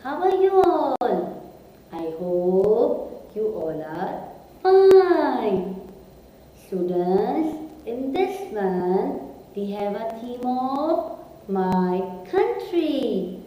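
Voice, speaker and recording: Lady teacher, real slow sing-song drilling, super lively pitch, big echoey room. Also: highs cut off. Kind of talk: classroom lesson for young students. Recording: echoey room with long reverb.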